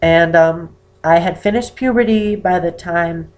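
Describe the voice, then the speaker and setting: Young guy, 18, voice really high for a man, kinda strained from damaged cords, lots of ums, chatting to camera in his room. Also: measured pace. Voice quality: unusually high-pitched voice for a man. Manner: rambling, with many "um"s and self-corrections. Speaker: vlogger. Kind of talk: casual personal talk to camera. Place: indoor room with poor lighting.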